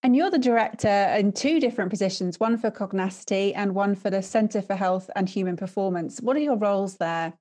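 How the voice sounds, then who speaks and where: A woman's voice: medium pitch, brisk, few pauses, warm tone, no room sound. Upbeat female podcast host. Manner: polished, upbeat delivery. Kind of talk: scripted intro and interview questions.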